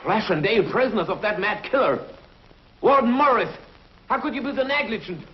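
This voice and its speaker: Agitated commissioner, high and swoopy, scolding, muffled 50s tv audio. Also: male voice, lively intonation, fairly quick. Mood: indignant. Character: indignant male police commissioner. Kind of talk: 1950s TV drama acting. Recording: dull lo-fi 1950s TV soundtrack.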